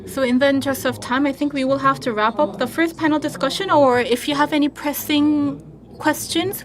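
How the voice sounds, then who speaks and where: A woman's voice: sits high, fairly bright tone. A woman interpreting live at a conference panel.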